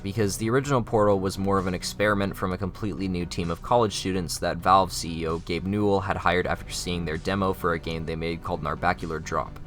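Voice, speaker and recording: Low-voiced young man narrating. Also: fairly bright tone. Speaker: video essay narrator. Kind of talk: scripted narration.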